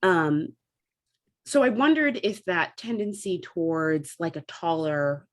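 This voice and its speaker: A woman's voice: lowish voice, lively intonation, slow. A woman with an American Midwestern accent explains things in a casual, chatty way, like a theatre member discussing her craft. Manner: chatty, demonstrates vowel sounds as she explains. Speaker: theatre company member recounting dialect training.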